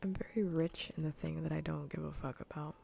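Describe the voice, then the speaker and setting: A woman livestream host speaking softly in a breathy, often whispered ASMR style, teasing and playful, close to the microphone. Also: sits low, steady tone, a little hiss or hum. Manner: teasing, playful, slow and repetitive. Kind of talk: soft-spoken ASMR gaming livestream chat.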